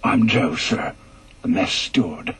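A man voicing an elderly male mess steward in a radio story, speaking humbly and respectfully with a very husky voice. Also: low-pitched, expressive, slow pace, a bit bright, a little hiss or hum, pitched below the performer's own voice, exaggerated voice. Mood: deferential.

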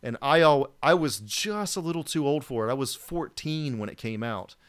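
A man's voice: mid-range voice, lively intonation, moderate pace, clear, resonant tone. A man in his forties hosting a podcast on a video call, summing up points and asking questions. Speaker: podcast host. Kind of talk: casual chat.

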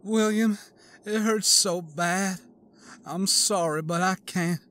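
A man's voice: measured pace, fairly bright tone. A man reading an audiobook, voicing an injured, grief-stricken female scientist and mother who pleads and wails in pain. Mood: broken, weary. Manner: pained, pleading, turns frantic and shrill. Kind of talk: audiobook character voice.

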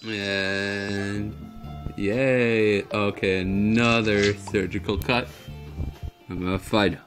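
A man's voice: fairly low pitch, fairly even intonation, drawn-out words, a bit bright, soft background music. A young man casually commenting over a game, rambling and joking, mumbling through on-screen text. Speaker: gameplay video maker.

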